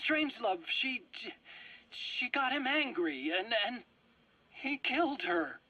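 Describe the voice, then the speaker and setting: A man's voice: extremely high pitch, sing-song, slow pace, pushed and bright, no room sound, exaggerated voice. A man acting a nervous, defensive scientist under interrogation in a game drama, stammering and pleading as he protests. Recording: dull, muffled game cassette audio.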